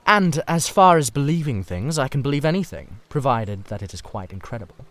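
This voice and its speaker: A man voicing a cynical, amused young gentleman in a dramatised audiobook, delivering his wit in an emphatic, theatrical drawl. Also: medium pitch, lively intonation, a little fast, no echo, pitched above the performer's own voice, close to an everyday voice. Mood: confident, amused. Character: witty, cynical young gentleman, amused and languidly self-assured.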